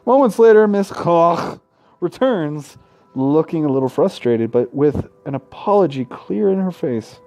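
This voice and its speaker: Sex: man. Pitch: highish. Tone: mellow. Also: animated, a little slow, dull audio. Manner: irreverent, sweary heckling between read passages. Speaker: gaming video host. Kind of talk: reading visual novel narration aloud with casual commentary.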